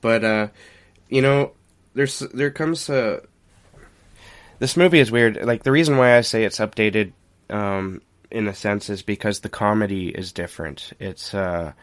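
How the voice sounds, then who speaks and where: A man with a fairly low voice talks as a podcast co-host. He is informal and candid, chatting through a casual review in plain, loose language. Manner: informal, candid, mildly profane. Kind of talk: casual film review chat.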